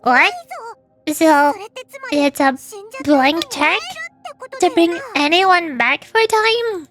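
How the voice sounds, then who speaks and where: Female VTuber, high voice, chatty and opinionated casual gaming commentary, rambling delivery, faint music. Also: takes it slowly. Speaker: VTuber streamer.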